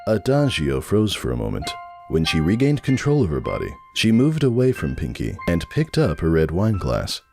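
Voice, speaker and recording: A man's voice: low voice, huge pitch swings, normal speed, a little mellow, faint music behind. A man narrating a story in a scripted, dramatic reading style. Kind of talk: dramatic fan fiction narration.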